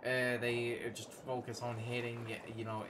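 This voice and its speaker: A man in casual co-commentary on a video game, explaining in a halting way with game music behind him. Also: mid-range voice, monotone, background noise. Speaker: let's play co-commentator. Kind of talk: casual gaming chat.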